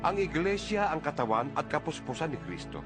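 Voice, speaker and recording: A man's voice: fairly high pitch, expressive, a little fast, lightly acted. A man voicing a solemn, devout apostle in a dramatized scripture reading, with declamatory delivery. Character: solemn, devout male apostle reading his letter aloud. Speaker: audio Bible narrator. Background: music.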